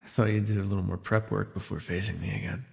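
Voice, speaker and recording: A jaded, imprisoned telepath villain , acted by a man, speaks in a low, gravelly voice that rings with long reverb. He is wry and sardonic, sighing wearily through an ASMR superhero audio drama. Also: fairly even intonation, fast talker, a little mellow. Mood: weary, wry. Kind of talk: ASMR audio roleplay acting.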